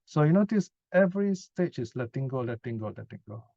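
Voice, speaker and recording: A man's voice: medium pitch, big pitch swings, fairly quick, warm tone, no echo. A man giving an informal Buddhist teaching talk and answering questions, conversational and often checking in with short tag questions. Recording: dull lo-fi sound, dry.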